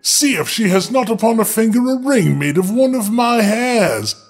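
A man acts out a small, ugly fairy-tale dwarf. The voice is high and declamatory, full of jealous, boastful defiance, with faint music behind. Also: lively intonation, moderate pace. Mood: defiant, boastful. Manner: declamatory, challenging.

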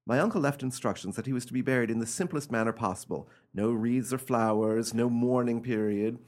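A man playing an imperious, coolly cruel, excitable gentleman heir in an old-fashioned radio play, speaking in a theatrical, commanding, formal way. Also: mid-range voice, fairly quick, close to an everyday voice. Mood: smug, composed.

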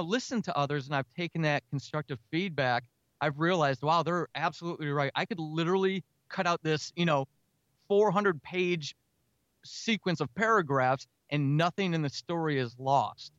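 Smooth-voiced man, earnest podcast guest. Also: on the high side, a bit bright, no room sound, muffled recording. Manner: earnest, self-critical, explains at length in halting phrases. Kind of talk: reflective interview talk about craft.

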